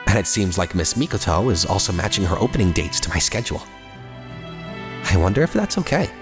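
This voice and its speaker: A man's voice: low-pitched, big pitch swings, fairly quick, music in the background. A man playing an earnest, polite young businessman who narrates his own story in a comic drama dub. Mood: narrating, concerned. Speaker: voice actor narrating and playing the lead.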